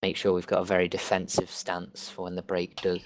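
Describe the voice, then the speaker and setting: A man playing a tabletop game over voice chat, calmly laying out tactics at length. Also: sits low, fairly quick, a little hiss or hum. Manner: laid-back, explains tactics at length. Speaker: player.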